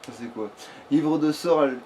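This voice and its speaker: A man's voice: on the high side, normal speed, warm tone. A man giving casual, improvised video game commentary in a crude, swear-heavy way. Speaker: let's player. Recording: clearly noisy background.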